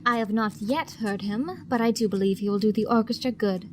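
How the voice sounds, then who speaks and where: A woman playing a composed, formal mature pony madam in an audio drama, measured and politely chiding. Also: fairly high pitch, a little mellow, slight background noise, lightly acted. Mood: concerned, chiding.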